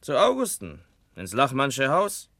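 An actor playing a cocky, sly, swaggering village bully with a teasing manner in a German radio play. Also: male voice, mid-range voice, wildly swooping pitch, measured pace, bright, forceful tone, no room sound, studio quality, exaggerated voice. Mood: insinuating, boastful.

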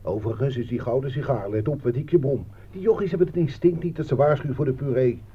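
A man's voice: mid-range voice, fast talker, slightly rough, close to an everyday voice. Man acting a grumbling old soldier, tinny recording. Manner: grumbling, colloquial, sardonic. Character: grumbling, sardonic old warhorse soldier and field cook. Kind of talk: radio play acting. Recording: muffled narrow-band old radio recording, faint noise.

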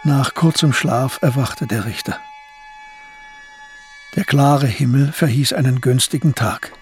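A man narrating a radio drama in a measured, descriptive, literary storytelling style. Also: medium pitch, a little mellow, faint music behind.

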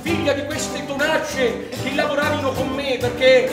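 A cocky naive sicilian peasant lad telling stories on stage, super high voice, echoey room. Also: male voice, fairly even intonation, measured pace, distant mic. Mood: boastful, excited. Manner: earthy, colloquial, ungrammatical dialect storytelling. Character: naive, boastful young Sicilian male farmhand telling his life. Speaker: stage actor narrating. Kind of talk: theatrical monologue from an autobiography. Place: theatre stage. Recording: echoey theatre stage from afar, music behind.

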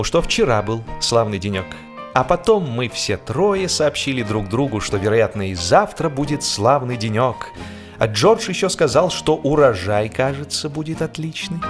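A man reads humorous literary narration for radio in a wry, theatrical way, leaning deliberately on key words. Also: mid-range voice. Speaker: audiobook narrator, actor.

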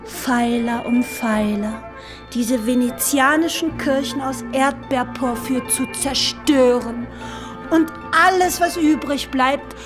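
A woman's voice: high-pitched, slow. An actress playing a playful, sensual young woman who savors florid, eloquent phrases in a literary radio drama. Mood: excited, rapturous. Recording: studio radio play with music underneath.

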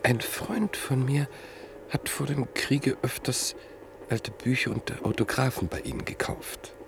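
A man's voice: mid-range voice, measured pace, gravelly, noisy background. An actor in a classic radio play playing a curious man on a train who keeps asking prompting questions. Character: curious male train passenger, inquisitive. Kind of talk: classic radio drama acting.

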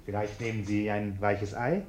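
A man's voice: fairly low pitch, fairly even intonation, moderate pace, a little mellow, a little hiss or hum, close to an everyday voice. An actor playing a respectable, over-polite businessman who justifies himself and blusters, in a German radio play. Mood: ingratiating, uneasy. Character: respectable bourgeois businessman, ingratiating host, pompous and irascible.

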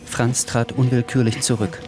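A man narrating a radio drama in a calm, measured way. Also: medium pitch, music in the background.

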